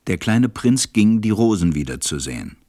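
A man narrating a scripted story for a radio play in a measured, calm storytelling manner. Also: on the low side.

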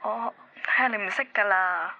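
A woman's voice: medium pitch, normal speed, dry recording, highs cut off, lightly acted. An actress playing a guarded, reluctant young girlfriend in a Hong Kong Cantonese radio drama, defensive and weary of commitment. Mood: evasive. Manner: evasive, defensive.

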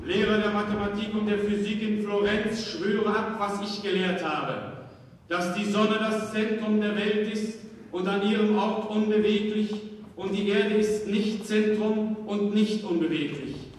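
An actor playing a solemn scholar formally reciting a recantation in a radio drama. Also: male voice, high voice, steady tone, a little slow. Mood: solemn, measured. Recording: very echoey hall, distant, faint noise.

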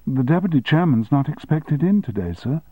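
A man's voice: a little high, animated, moderate pace, no echo, phone-quality sound, voice pushed up. A man voicing a cheerful, polite young female secretary.

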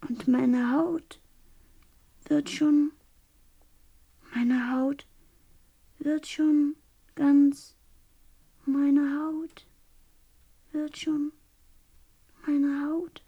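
Very slow, dreamy young woman. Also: sits high, full character voice. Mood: tranced, peaceful. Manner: hushed, trailing, repetitive. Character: dreamy, exhausted young woman slowly transforming, serenely resigned. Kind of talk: German radio play acting.

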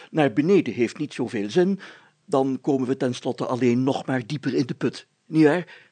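A man's voice: mid-range voice, moderate pace, a little mellow, breathy edge, no echo, close to an everyday voice. A man voicing a pompous, optimistic village mayor in a storybook, speaking emphatically and with self-importance. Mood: upbeat, commanding.

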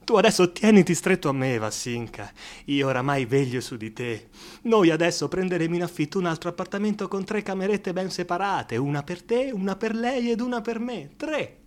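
Effusive, high-voiced devoted male friend. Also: expressive, fairly quick. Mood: excited, dreamy. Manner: effusive, coaxing, emphatic. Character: devoted, excitable male friend and roommate, protective and impulsive. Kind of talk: Italian radio drama acting.

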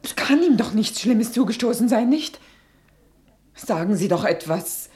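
An actress playing a distraught, anxious and reproachful mother in a German radio play, speaking haltingly and agitatedly. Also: a little high, lively intonation, a little mellow. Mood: desperate, reproachful. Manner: halting, agitated, breaking into whispers.

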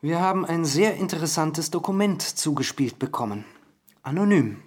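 An actor playing an earnest, insistent investigative journalist in a radio drama interview scene. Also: male voice, a little high, animated, moderate pace, darkish tone, husky.

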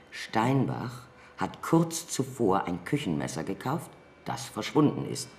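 A very low male voice belongs to a cold, methodical justice official. He is clinical and insistent, with a lively melody to his measured speech. Faint noise sits behind him. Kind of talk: German radio drama acting.

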